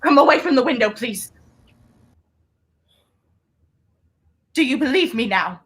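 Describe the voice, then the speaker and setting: A hunted female secret agent acted by a woman speaks in a fairly high voice. She is intense and secretive, weighing her words with deliberate drama in the style of a 1930s radio thriller. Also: lively intonation, husky. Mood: tense, grave. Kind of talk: 1930s radio play acting.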